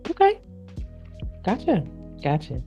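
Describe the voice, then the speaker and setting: A woman's voice: lowish voice, wildly swooping pitch, takes it slowly, warm tone, lo-fi sound, soft background music. A woman hosting a casual interview panel, putting questions to her guests. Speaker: talk show host.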